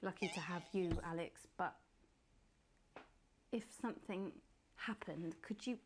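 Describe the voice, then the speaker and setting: A woman playing a posh female TV presenter who signs off smoothly, then turns obsessive and pleading. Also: fairly low pitch, unhurried, slight background noise, natural voice. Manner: polished, then pleading and unsettling. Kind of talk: late-night TV sign-off.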